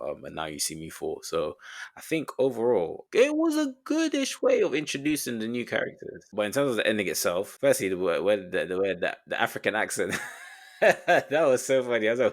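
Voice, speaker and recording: Male co-host, low-pitched, relaxed informal review talk. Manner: unhurried, casual, informal grammar. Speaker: podcast co-host. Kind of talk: casual movie review chat.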